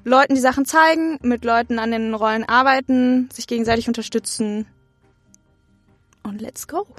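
A woman's voice: a little high, fairly even intonation, moderate pace, a bit bright, no echo, faint music behind. A young woman, chatting informally and candidly as an interview guest. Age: young adult. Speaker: acting student being interviewed. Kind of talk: casual chat.

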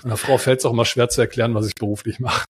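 A man's voice: medium pitch, little pitch movement, very fast, background noise. A German man co-hosting a podcast in casual chat, rambling with fillers and restarts. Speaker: podcast co-host.

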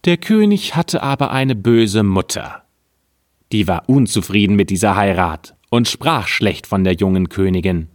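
A man reading an old fairy tale aloud in a calm, measured storytelling manner. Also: lowish voice, no echo, clean studio sound.

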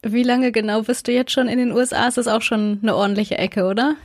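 A woman's voice: a little high, steady tone, fast, no echo, clean studio sound. A woman host interviewing a guest, asking long, friendly framed questions.

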